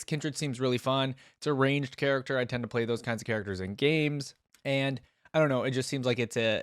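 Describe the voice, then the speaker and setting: A man's voice: mid-range voice, dry recording, studio quality. A man hosting a reaction video, commenting casually and thinking aloud in a tentative, conversational way.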